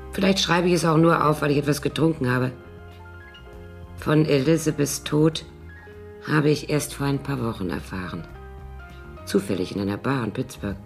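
An actress playing a tipsy, scheming older former nanny in a radio crime drama, brooding and halting in a theatrical way. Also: female voice, very deep voice, exaggerated voice. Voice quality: husky, creaky. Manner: brooding, halting, theatrical delivery dropping into whispers.

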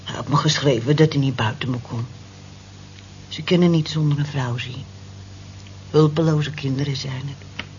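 A woman's voice: very deep voice, fairly even intonation, normal speed, pauses often, darkish tone. An actress in a radio drama playing a down-to-earth, motherly woman of nearly sixty with a frank manner. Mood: wry, reassuring. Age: 50s. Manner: frank, matter-of-fact, motherly. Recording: dull lo-fi old radio recording, hiss.

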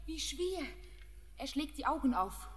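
A woman's voice: high voice, lively intonation, measured pace, a bit bright. An actress playing a frightened, passionate young woman in a radio drama, with intense, declamatory delivery. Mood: anxious. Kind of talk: classical German radio drama acting. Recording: long hall reverb, music behind.

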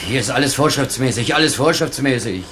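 An actor playing an evasive, defensive innkeeper in a radio crime drama, protesting and repeating himself. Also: male voice, medium pitch, expressive, fairly quick, a bit bright, slight background noise, lightly acted. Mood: defensive, indignant.

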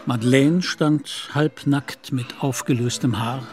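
A man with a mid-pitched voice narrates a radio drama. It is scripted literary storytelling, with music clearly playing behind him. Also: fairly even intonation, slow.

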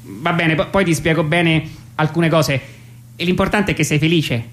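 A man voicing a new mother on the phone in a radio drama, patient but nervous, stammering and repeating words as the mother gently corrects. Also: fairly high pitch, normal speed, voice pushed up, lightly acted. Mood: anxious, correcting. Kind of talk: theatrical radio monologue, staged phone dialogue.

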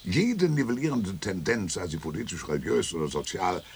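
An actor playing an ironic European thinker who lectures emphatically in a radio play. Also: male voice, lively intonation, normal speed, darkish tone, a little hiss or hum. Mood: lecturing. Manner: emphatic, lecturing, swings pitch widely.

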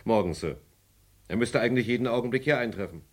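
A blunt, loyal security chief with a soldier's bearing, played by a man in a radio drama. His mid-pitched voice swings widely, clipped yet respectful and emphatic. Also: animated, quick pace, lightly acted. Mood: earnest. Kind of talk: old German radio crime play.

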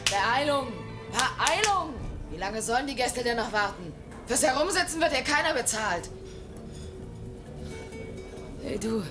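An actress in a German radio play voicing a bossy, determined young woman conspirator, brisk and commanding. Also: fairly high pitch, expressive, close to an everyday voice. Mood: bossy, impatient. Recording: studio radio play with prominent music. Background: music.

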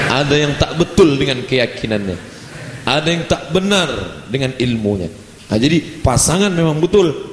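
Animated preacher in an echoing hall. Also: male voice, medium pitch, a little hiss or hum. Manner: lively, animated delivery with playful asides. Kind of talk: religious lecture. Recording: roomy hall echo, faint ambience.

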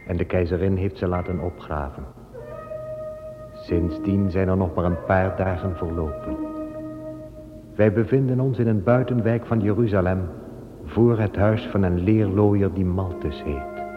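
A man narrating a radio play in a calm, measured way, setting the scene. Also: deep voice. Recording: dull old radio recording with long reverb and music.

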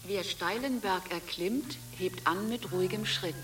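An actress playing a calm, soothing institutional voice that quotes sayings. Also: female voice, on the low side, unhurried, far from the mic, music in the background, lightly acted. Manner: soothing and quoting. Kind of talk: radio drama acting.

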